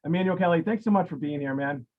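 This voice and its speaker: A man's voice: a little high, quick pace, phone-quality sound. A man hosting a live interview show, enthusiastic and rambling as he introduces his guest. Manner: enthusiastic and rambling, many fillers and restarts. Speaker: podcast host.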